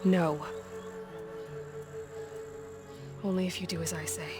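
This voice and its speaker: A woman's voice: fairly low pitch, fairly even intonation, fast talker, natural voice. A woman acting a composed, direct young huntress chieftain in a video game, plain-spoken and probing. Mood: firm. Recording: music under the voice.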